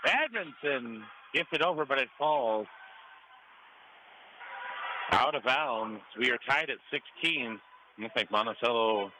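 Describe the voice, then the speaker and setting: An American man doing live radio play-by-play commentary of a volleyball match. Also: medium pitch. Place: high school gym during a match. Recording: clearly noisy gym ambience. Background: gym ambience and noise.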